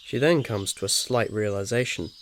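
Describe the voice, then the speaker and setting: A man's voice: lowish voice, normal speed, fairly bright tone. A man reading scripted second-person story narration in an ASMR style. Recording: faint noise with birdsong behind.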